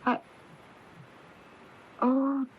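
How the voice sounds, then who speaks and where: Anxious, flustered small-town wife, muffled radio. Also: female voice. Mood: flustered, confiding. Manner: halting, breathless, flustered delivery. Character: anxious, fretful small-town married woman, rambling and confiding. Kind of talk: 1980s radio drama acting. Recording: dull lo-fi AM radio tape.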